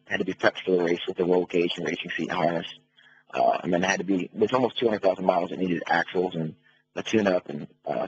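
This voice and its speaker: A man's voice: low voice, a little mellow. A middle-aged American man speaking as a guest on a radio phone interview, explaining things conversationally and matter-of-factly. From United States. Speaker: phone-in interview guest, race car builder. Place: phone call to a radio show. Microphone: telephone. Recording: muffled noisy phone line on radio.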